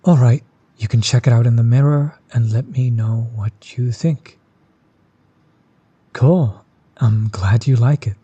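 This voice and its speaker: A man playing a chill, friendly tattoo artist in an intimate audio roleplay, soft-spoken, easygoing and reassuring. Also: mid-range voice, measured pace, long pauses, breathy edge, dry recording, lo-fi sound. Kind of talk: intimate one-sided audio roleplay, casual chat.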